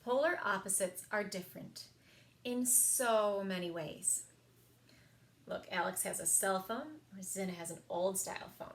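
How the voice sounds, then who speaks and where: A woman reading picture books aloud for a children's story time, expressive and sing-song, with emphasized words. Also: medium pitch, unhurried. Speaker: library story time reader.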